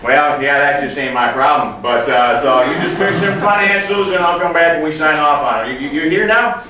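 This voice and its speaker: A folksy cowboy accountant in a comedy skit, acted out by a man, excitable and easily bought. He is high and forceful, hammy, with broken grammar. The sound is distant and roomy. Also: normal speed. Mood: apologetic, firm. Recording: echoey room, distant mic, background noise.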